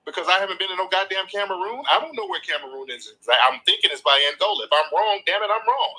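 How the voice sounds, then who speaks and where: A man's voice: sits high, fast talker, sharp tone, rough recording. A man hosting a live call-in show, lecturing and arguing combatively, swearing freely and talking over the caller. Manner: combative, lecturing, repeats points. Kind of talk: heated live call-in argument.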